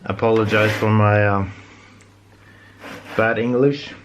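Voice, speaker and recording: A Norwegian man speaking English as a second language, talking casually while working on a repair, with halting speech full of restarts and fillers. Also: fairly low pitch, fairly even intonation, a little hiss or hum. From Norway. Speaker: DIY repair vlogger.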